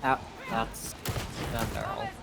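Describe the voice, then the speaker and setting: A person playing a video game with friends, explaining things in halting, broken phrases. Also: medium pitch, level tone, vocal fry, over loud music. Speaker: co-player. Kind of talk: casual gaming chat.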